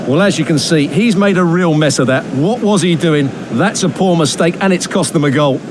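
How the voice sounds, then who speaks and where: A man's voice: a little high, normal speed, noisy background, lightly acted. A man voicing a frank, chatty football co-commentator in a video game, explaining play in plain words. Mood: critical. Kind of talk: video game sports analysis. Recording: loud music behind the commentary.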